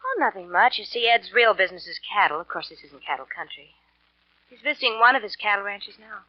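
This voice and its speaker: A woman's voice: mid-range voice, expressive, quick pace, close to an everyday voice. An actress playing a greedy, scheming married woman in a 1940s radio melodrama, with a gushing, pleading, emotional manner. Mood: casual, coy. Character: greedy, scheming married woman, breathlessly adoring her lover. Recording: dull, dry vintage radio recording.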